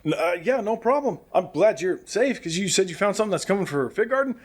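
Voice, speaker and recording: Man playing a high-voiced, animated radio host. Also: fast. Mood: worried. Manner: chatty, stammering, expressive. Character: friendly, nervous male post-apocalyptic radio host. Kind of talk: comedic audio drama, radio show hosting.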